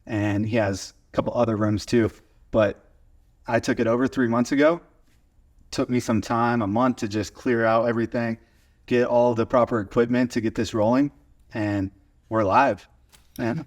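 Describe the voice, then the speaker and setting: A man's voice: mid-range voice, fairly even intonation, moderate pace. A man in his twenties from Oklahoma with an American accent, talking casually as an interview guest and telling stories. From small town in Oklahoma, USA. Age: 20s. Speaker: podcast guest, video studio owner. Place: converted garage video studio.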